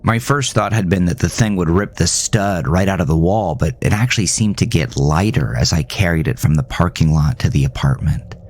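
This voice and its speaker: A man playing an uneasy man in his mid-thirties, narrating a horror audio drama in a measured, confiding, brooding way. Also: low voice, a little mellow. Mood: reflective, intrigued.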